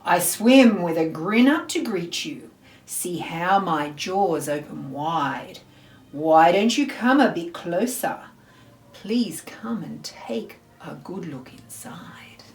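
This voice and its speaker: A woman acts out a grinning, menacing storybook shark. It coaxes slowly with false friendliness, in a roomy, echoing space. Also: fairly low pitch, animated. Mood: sly, inviting. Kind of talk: picture book read-aloud in verse. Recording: roomy echo, faint music behind.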